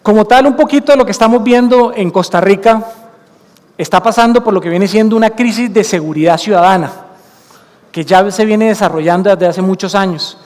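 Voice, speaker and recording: A Colombian-accented man speaks from a conference stage, earnest and explanatory, slowing down to weigh the key points. His voice sits high for a man. A long hall reverb trails every phrase. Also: a little mellow. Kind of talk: conference talk.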